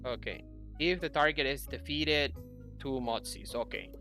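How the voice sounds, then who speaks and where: A man's voice: medium pitch, unhurried, pushed and bright. A young man giving casual game commentary, explaining things and thinking aloud as he plays. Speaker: gaming YouTuber. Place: indoor room at a computer. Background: game music.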